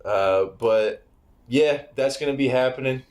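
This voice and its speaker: A man's voice: medium pitch, unhurried, darkish tone. A man guesting on a casual podcast in a house, joking, swearing and laughing often. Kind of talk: casual chat. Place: grandparents' house, indoors. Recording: echoey room.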